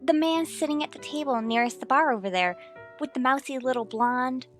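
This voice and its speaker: A woman's voice: sits high, brisk, soft background music, natural voice. An American actress playing an impulsive, stubborn married woman sleuth in an old radio drama, exclaiming and stressing her words. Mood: insistent. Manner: exclamatory, emphatic. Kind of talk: mid-century radio drama acting.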